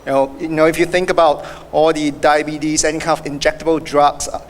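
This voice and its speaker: A man in his thirties from Singapore, with a Singaporean accent, giving a halting acceptance speech full of fillers and restarts in an event hall. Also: fairly high pitch, flat delivery. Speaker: award recipient. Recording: echoey hall with faint noise.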